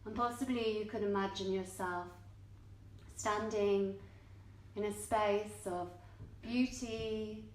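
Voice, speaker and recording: A woman leads a guided meditation in an echoing indoor yoga studio. She speaks very slowly and gently, in short measured phrases separated by long pauses. Her voice sits at a medium pitch for a woman. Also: steady tone. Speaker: yoga nidra teacher.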